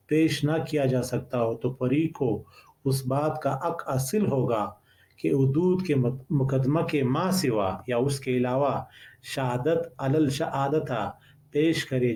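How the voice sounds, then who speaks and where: Male law lecturer, Pakistani accent, unhurried and soft-edged, reciting statutes formally. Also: medium pitch. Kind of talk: reading legal text aloud.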